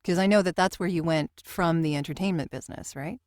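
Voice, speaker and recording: A woman's voice: on the low side, fairly quick, no room sound, clean studio sound. A woman hosting a casual interview, attentive and affirming. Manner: attentive, affirming, chuckles often. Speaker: podcast host, interviewer.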